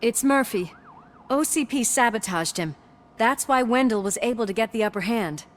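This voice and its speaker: An actress playing a worried, earnest ally in a video game, speaking with urgent concern. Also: female voice, fairly high pitch, normal speed, a little hiss or hum, close to an everyday voice. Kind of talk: video game cutscene acting.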